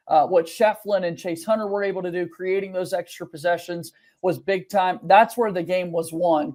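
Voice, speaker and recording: A man with a notably high voice gives casual game analysis. He talks as a regular panelist on a sports show. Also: darkish tone.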